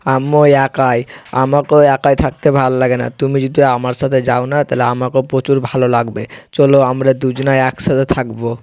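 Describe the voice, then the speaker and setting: A man's voice: medium pitch, little pitch movement, moderate pace, darkish tone, no echo, close to an everyday voice. A man voicing a kind, lonely young village boy in an amateur cartoon, speaking simply and earnestly. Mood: kind, hopeful.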